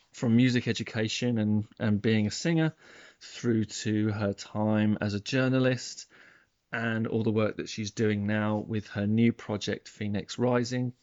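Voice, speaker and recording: Low-pitched male podcast host, lo-fi sound. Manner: relaxed conversational introduction. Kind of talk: podcast interview. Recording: dull lo-fi recording.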